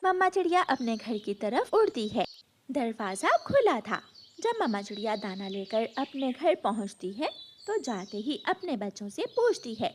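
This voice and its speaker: A high-voiced woman narrates a children's story in a scripted storytelling style. Her pitch moves in lively swings, and there is faint noise behind her. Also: moderate pace.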